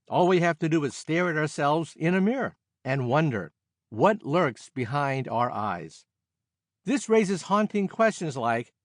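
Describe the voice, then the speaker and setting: A man reads popular science as an audiobook narrator. His voice sits a little high and moves expressively up and down through measured, scripted sentences. The sound is very dry and close, as in a studio. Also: no echo. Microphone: close studio microphone, dry sound.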